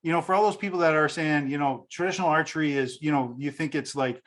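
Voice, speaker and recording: A man hosting a podcast interview, eager and excitable, talking in quick bursts with frequent restarts. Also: fairly high pitch. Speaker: podcast host. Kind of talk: casual interview chat.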